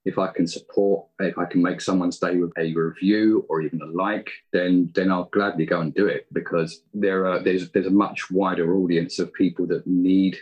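A man chats casually as a guest on a podcast. His voice is low and rough-edged, with an easy conversational flow. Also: flat delivery, moderate pace, hardly any pauses, highs cut off. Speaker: podcast guest, indie audio drama creator. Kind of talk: casual interview chat.

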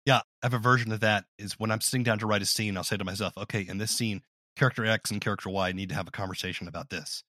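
A man's voice: fairly low pitch, fairly even intonation, very fast, bright, forceful tone, dry recording. A man conducting a casual interview as the interviewer.

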